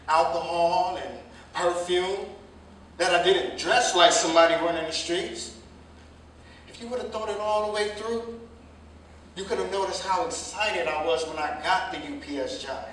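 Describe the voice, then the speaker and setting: Distant and swimming in room echo, a man acts a young working man in a stage drama. His voice sits high, impassioned and emphatic. He is earnest and defensive, repeating his points as he pleads. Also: a bit bright, lightly acted. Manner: emphatic, repetitive, impassioned vernacular delivery. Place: live staged reading, recorded from a distance indoors. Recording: very echoey room, distant mic, faint noise.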